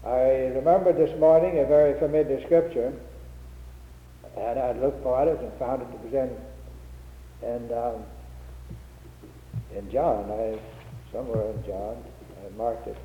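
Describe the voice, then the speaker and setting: A man preaching an unscripted sermon with a head cold, speaking in a humble, halting way with frequent restarts. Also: medium pitch. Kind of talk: old-fashioned unscripted sermon. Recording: tinny lo-fi recording in an echoey hall.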